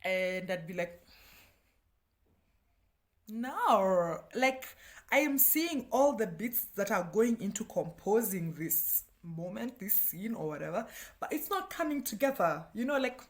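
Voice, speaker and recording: A woman's voice: mid-range voice, lively intonation, a little slow. A woman in her thirties with a Kenyan accent, talking casually and animatedly as a book reviewer, candid and self-deprecating with playful asides. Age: 30s.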